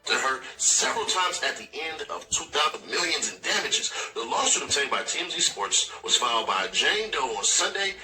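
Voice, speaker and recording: Animated man reading news over music. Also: on the high side. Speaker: commentator reading news articles aloud. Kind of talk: reading a news article aloud. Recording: distant video playback over music.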